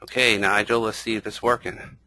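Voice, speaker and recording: A man's voice: on the low side, little pitch movement, a little fast, bright, forceful tone, dry recording, low-quality recording. A man hosting an online user group meeting, making announcements hesitantly with many fillers. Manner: hesitant, full of "uh" and "um". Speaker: user group organizer and host. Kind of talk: webinar introduction.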